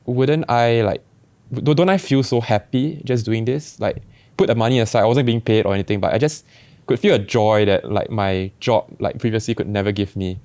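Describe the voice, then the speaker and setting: A young man with a Singaporean accent, telling his story in a relaxed, reflective way with many restarts. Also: on the low side, brisk, dry recording. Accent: Singaporean English. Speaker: barber guest. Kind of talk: casual podcast chat.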